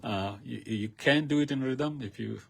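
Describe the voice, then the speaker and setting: A man's voice: medium pitch, moderate pace, gravelly, fairly bright tone, breathy edge. A man with an Indian accent hosting a radio interview, talking hesitantly with many fillers and frequent laughs. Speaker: radio host.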